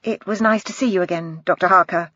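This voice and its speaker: A woman's voice: fairly low pitch, fairly even intonation, fast, fairly bright tone, dry recording. A woman voicing a young policewoman who answers briefly and politely, in a slightly dull, dry recording. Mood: reserved.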